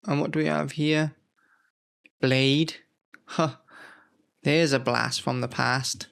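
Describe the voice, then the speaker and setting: Unwell man with a gravelly, fry-laden voice, mid-pitched, leafing through old magazines and rambling to camera with plenty of self-corrections. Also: fairly even intonation, slow, fairly bright tone. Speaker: gaming channel host. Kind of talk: casual nostalgic commentary.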